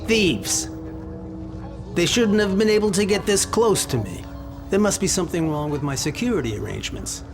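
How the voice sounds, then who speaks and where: An actor playing an older, genial and courteous arms dealer in a video game cutscene. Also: male voice, sits high, animated, moderate pace, over music. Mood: relieved, rattled.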